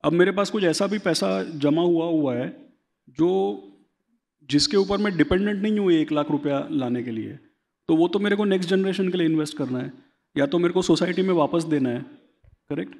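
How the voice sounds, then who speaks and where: Brief: male finance expert, gravelly, higher-pitched, explanatory, reverberant room. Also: brisk, a little mellow. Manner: explanatory, seeks agreement with repeated tag questions. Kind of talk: conversational interview, Hindi-English mix.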